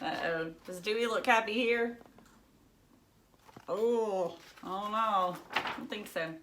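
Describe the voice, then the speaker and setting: A woman teacher reading a children's storybook aloud, expressive and dramatic, as if to young listeners. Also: mid-range voice, takes it slowly.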